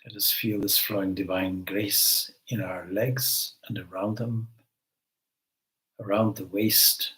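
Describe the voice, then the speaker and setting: A man leading a guided meditation as a spiritual teacher, giving gentle instructions with long pauses between phrases. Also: lowish voice, level tone, poor audio.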